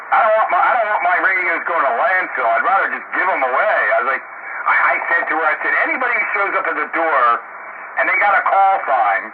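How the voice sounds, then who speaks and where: An older American man with a slightly high voice, chatting like a radio hobbyist and replaying conversations in other people's words. His transmission is thin and narrow, buried in heavy static. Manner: animated storyteller, acting out reported dialogue. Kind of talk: casual on-air radio chat. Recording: noisy, narrow-band shortwave radio transmission. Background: loud radio static.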